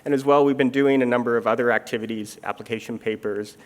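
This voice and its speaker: A middle-aged man from Canada speaking in a measured, explanatory way, with many fillers, in an onstage armchair conversation. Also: mid-range voice, steady tone. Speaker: international insurance supervision official. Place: conference stage. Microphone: handheld or stage microphone.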